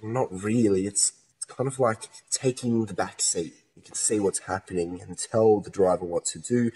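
An actor playing a troubled murder suspect with two personalities, brooding and erratic, in a modern radio play. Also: male voice, on the low side, moderate pace, dark tone, breathy edge, low-quality recording. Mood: uneasy, confessing.

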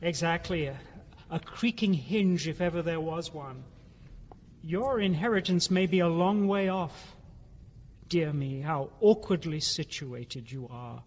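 High-voiced, genial country gentleman. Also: unhurried. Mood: affable, sly. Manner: hearty, avuncular, affable. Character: genial, smooth-talking English country gentleman, slyly avuncular. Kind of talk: radio drama acting. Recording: dull, noisy old radio-play sound.

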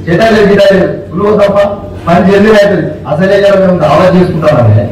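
A man's voice: high-pitched, quick pace, darkish tone, lightly acted. A man playing an impatient, careless young coal miner in a colloquial stage play, speaking hastily. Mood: impatient, grumbling. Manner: hasty, grumbling. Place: open-air stage, distant camera. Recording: echoey stage, far from the mic.

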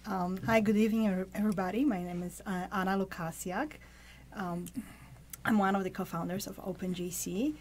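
A woman's voice: medium pitch, steady tone, a little mellow. A woman in her forties from Poland, a guest on a radio show, explaining methodically into a studio mic. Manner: methodical, halting with fillers. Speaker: guest, tech co-founder. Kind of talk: radio interview. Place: small padded radio studio. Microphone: studio mic with pop filter.

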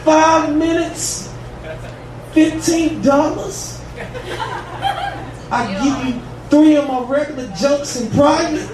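A man in his thirties works through a stand-up set with a casual, profane, drawling delivery. His voice sits high. He sounds distant in an echoey bar room with steady background noise. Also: takes it slowly, long pauses. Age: 30s. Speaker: stand-up comedian. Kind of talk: stand-up set at an open mic. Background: audience ambience.

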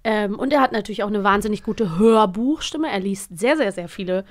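A woman's voice: medium pitch, a little fast. A woman in her thirties co-hosting a podcast, chatting casually as she reviews audio dramas. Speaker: podcast co-host.

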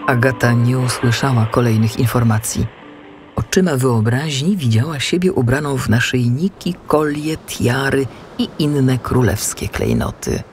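A woman narrates a children's story in a deep, gravelly voice with plenty of creak. Her scripted storytelling moves expressively up and down, with music behind. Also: lively intonation, a little slow, darkish tone.

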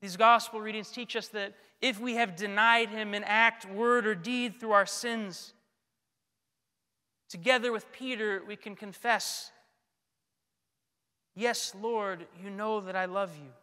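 Male priest, thirties, bright high voice, solemn and deliberate sermon delivery into a pulpit mic. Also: steady tone, clear, resonant tone. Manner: solemn, deliberate, with frequent pauses. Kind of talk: sermon, measured scripted delivery. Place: large church, indoors at a pulpit. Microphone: gooseneck pulpit microphone. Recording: long church reverb.

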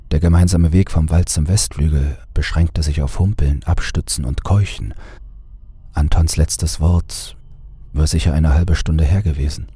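A man narrating a scripted horror story in a measured way, with dramatic pauses. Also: rumbling low, warm tone, husky.

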